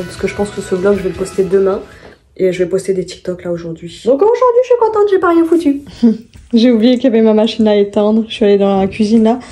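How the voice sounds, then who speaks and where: A woman's voice: mid-range voice, warm tone. A woman vlogging casually at home, chatting and rambling through her shopping haul, often stopping to correct herself and laughing at her own slips.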